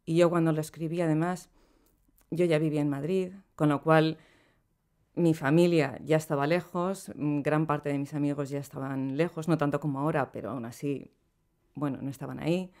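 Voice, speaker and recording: A middle-aged woman, a poet, talking in a relaxed and reflective way as a podcast guest, laughing easily between thoughts, recorded in a small studio room. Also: low-pitched, moderate pace. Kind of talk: relaxed conversational interview. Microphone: studio microphone on boom arm.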